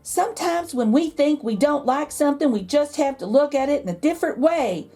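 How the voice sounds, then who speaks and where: A woman voicing an excitable, cheerful and wise aunt in a children's storybook, calling out her lines. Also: high-pitched, fairly quick, faint music behind, voice pushed up. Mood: encouraging. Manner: exclamatory, calling out. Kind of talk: children's storybook read-aloud.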